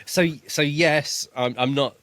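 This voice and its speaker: A man's voice: fairly high pitch, steady tone, slow pace, a bit bright, dry recording. A man co-hosting a podcast in a casual book discussion, thinking aloud in a tentative way. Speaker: podcast co-host.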